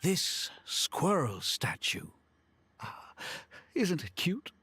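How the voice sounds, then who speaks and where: A friendly game scholar. His voice sits a bit high and moves around a lot, eager and informal throughout. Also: huge pitch swings, very slow, pushed and bright, husky, no room sound, soft background music, heavily acted. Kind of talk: video game voice acting.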